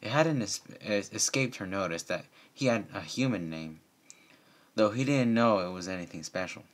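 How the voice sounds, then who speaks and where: A man with a fairly low voice reads a story aloud like a homemade audiobook. His delivery is a little halting, with the odd stumble. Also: lively intonation. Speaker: reader of fan fiction. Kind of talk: amateur audiobook-style story reading.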